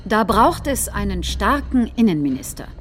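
A combative populist politician voiced by an actress in a political radio play. The woman's voice sits at a middle pitch. The delivery is deliberate, rhetorical stage speech. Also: sing-song, faint music behind, lightly acted. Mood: combative, mocking. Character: combative, folksy populist politician rallying supporters.

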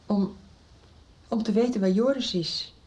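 An actress playing a shaken, insistent middle-aged mother, agitated and halting, in a radio soap. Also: medium pitch, dark tone, breathy edge. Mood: shaken, anxious. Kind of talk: Dutch radio soap acting.

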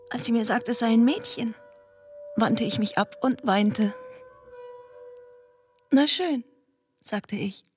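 An actress playing a flighty, romantic yet cynical young society wife in a radio play, with a theatrical, dreamy manner. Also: female voice, fairly high pitch, a little mellow, natural voice. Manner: theatrical, dreamy, drawn-out, brittle. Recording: faint noise behind studio radio drama.

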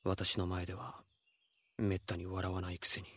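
An actor playing a proud, curt, jealous husband of rank in a Japanese audio drama. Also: male voice, low voice, fairly even intonation, normal speed, breathy edge, no echo. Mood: grudging, jealous. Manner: curt, brusque, sulky.

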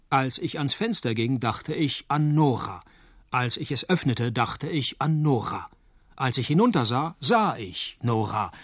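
An actor playing a wry, deadpan, hard-drinking male private detective in a radio play, speaking with dry, laconic wit. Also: mid-range voice, no echo. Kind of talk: radio play dialogue and first-person noir narration.

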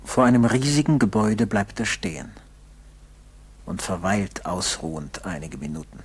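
A man narrating a radio play solemnly, in a measured way with dramatic pauses. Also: on the low side, breathy edge.

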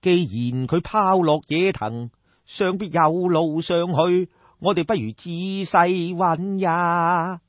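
A man with a Cantonese accent voicing a grave, pedantic ancient scholar who speaks in slow, drawn-out formal phrases for a storybook tale. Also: sits high, no room sound, highs cut off. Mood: measured.

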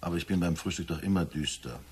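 An actor playing a gloomy middle-aged husband in a German radio drama, speaking in a measured storytelling way with dry irony. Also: male voice, low-pitched, a little hiss or hum, lightly acted. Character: gloomy middle-aged husband recounting a nightmare, wryly forbearing.

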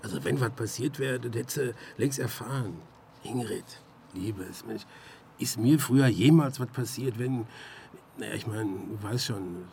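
A man acting in a German radio drama as a harried, evasive murder suspect, speaking in a halting, colloquial way. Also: medium pitch, creaky, darkish tone, slight background noise. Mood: awkwardly soothing. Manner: halting, colloquial, stammering and trailing off.

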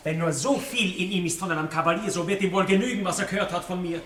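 A man's voice: high voice, fast, pushed and bright. An actor playing a gallant, hot-headed seventeen-year-old nobleman in a radio play, defiant and declamatory. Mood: cold, defiant. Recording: roomy, noisy 1960s radio play recording.

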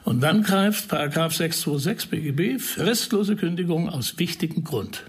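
A man acting in a radio crime drama as a shrewd investigator who speaks deliberately and persuasively, with a husky, creaky voice. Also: on the high side, expressive. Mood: deliberate, explaining. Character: shrewd male investigator explaining a dismissal intrigue to a friend.

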